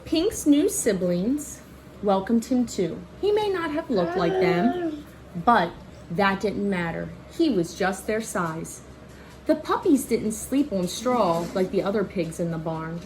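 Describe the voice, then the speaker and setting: Slow-paced woman reading a picture book aloud. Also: mid-range voice, noisy background. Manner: expressive, child-directed, pauses to ask questions and point out pictures. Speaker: read-aloud storyteller and teacher. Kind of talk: children's picture-book read-aloud with asides.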